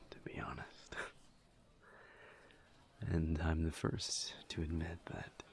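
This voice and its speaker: Man acting a very low, gravelly, gentle incubus. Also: heavily acted. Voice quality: breathy, soft, near-whispered, gravelly low voice. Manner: slow, halting, tender and sincere. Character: gentle, earnest, reserved male incubus demon friend. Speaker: audio roleplay voice actor. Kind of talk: intimate audio roleplay, one side of a conversation.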